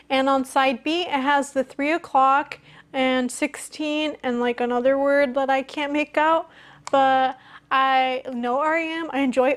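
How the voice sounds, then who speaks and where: High voiced girly woman doing a chatty collection video, super into it, slangy and informal. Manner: enthusiastic, informal, youthful slang. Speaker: music collecting video host. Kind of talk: casual show-and-tell narration.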